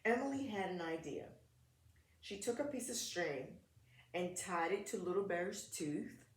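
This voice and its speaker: A woman's voice: on the low side, a little slow, slight background noise. A woman reading a picture book aloud to preschool children in short, simple phrases, talking to them directly. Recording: echoey room.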